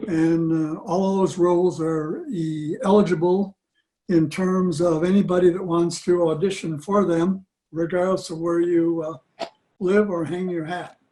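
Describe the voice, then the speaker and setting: A man's voice: sits high, steady tone, a little slow, mellow. A man making an informal program announcement to his club over a video call. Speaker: club member presenting programs. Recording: noisy video-call audio with clicks.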